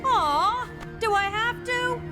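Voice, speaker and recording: A woman's voice: extremely high pitch, takes it slowly, loud music behind the voice. A woman playing a sassy, sulky, sarcastic young superhero in a video game.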